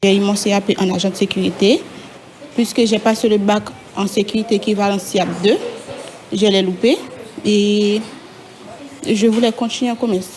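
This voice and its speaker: Young female adult learner, average pitch, interview answer. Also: fairly even intonation, moderate pace, pauses often, darkish tone, poor audio.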